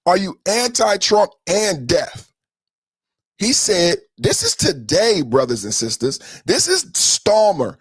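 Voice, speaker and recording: A man's voice: sits high, big pitch swings, takes it slowly, slightly rough, dry recording, clean studio sound. An American man giving heated, profane political commentary as a podcast host, repeating himself emphatically. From San Francisco, United States.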